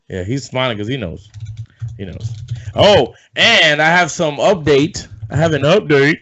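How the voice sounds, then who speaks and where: A man hosting a casual sports podcast, rambling and loose, swearing offhand, a bit hoarse as if coming down with something. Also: on the high side, lively intonation, a little slow, a bit bright. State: losing his voice, feeling sick. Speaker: podcast host. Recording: dull lo-fi sound.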